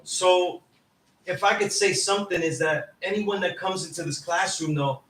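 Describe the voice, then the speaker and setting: A man's voice: sits high, normal speed, fairly bright tone. A man lecturing informally to a class, emphatic and often checking in with "right?". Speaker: teacher. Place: indoor classroom. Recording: echoey room, distant mic, background noise.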